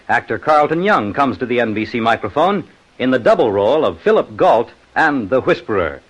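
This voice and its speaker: A man's voice: mid-range voice, a bit bright, poor audio. An American man working as a polished, formal radio announcer and narrator in a scripted 1950s style.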